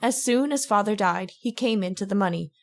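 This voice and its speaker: A woman playing a fearful, haunted lady in an old-time radio drama, earnest and halting, pleading as she speaks. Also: medium pitch, natural voice. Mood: sorrowful confiding.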